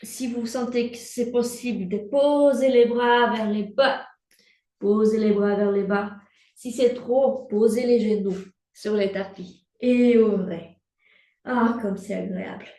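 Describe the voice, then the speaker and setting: A young woman guiding a prenatal yoga class in slow, calm cues with breath prompts, recorded from a laptop mic across the room. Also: on the high side. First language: Portuguese. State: pregnant. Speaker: yoga instructor. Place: room at home, instructing from a mat. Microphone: laptop mic at a distance. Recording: roomy, from far off the mic.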